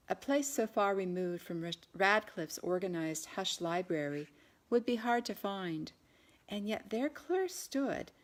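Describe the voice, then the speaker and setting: A woman reading her own novel aloud in an audiobook narration style. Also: on the low side.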